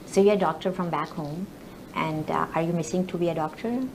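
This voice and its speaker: A woman's voice: low voice, steady tone, a little fast, background noise. A woman hosting a TV talk show, asking her guest loosely phrased questions. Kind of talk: interview questions.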